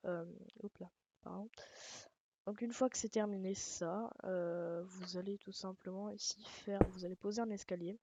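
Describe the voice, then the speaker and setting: Woman, Alsatian accent, gravelly fry, loud noisy recording, casual rambling game tutorial, swears. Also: medium pitch, muffled recording. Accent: Alsatian French. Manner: rambling, swears, self-mocking jokes. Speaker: gaming channel host. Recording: loud background noise.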